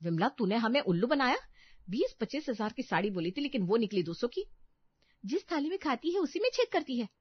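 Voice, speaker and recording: Indignant well-off housewife for a cartoon story, played by a female narrator, higher pitched, muffled audio. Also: sing-song, a little fast, slightly rough, no room sound. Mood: angry scolding. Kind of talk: cartoon moral story dialogue.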